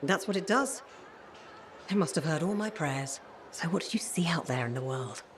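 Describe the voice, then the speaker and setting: A woman's voice: sits low, expressive, moderate pace, slight background noise. Wistful, fretful older mother. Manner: wistful, gently chiding. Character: wistful, fretful aging mother, frank and loving. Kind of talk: video game voice acting.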